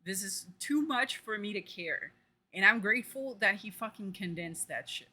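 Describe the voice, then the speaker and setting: A woman's voice: medium pitch, expressive, moderate pace, pushed and bright. A woman streamer giving blunt, profane live reaction commentary.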